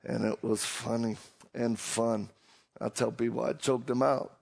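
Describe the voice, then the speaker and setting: A man's voice: mid-range voice, flat delivery, unhurried, gravelly, a little mellow, slightly rough. A middle-aged man from Louisiana with a Cajun accent, a preacher giving a hyped-up, self-deprecating comic talk to a live audience. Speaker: preacher and motivational speaker.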